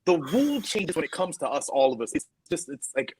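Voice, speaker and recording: A man's voice: a little high, very animated, fairly quick, darkish tone, breathy edge, no echo. A man giving an exasperated rant about refereeing as a panelist on a football chat show, often repeating himself. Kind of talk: casual heated football chat.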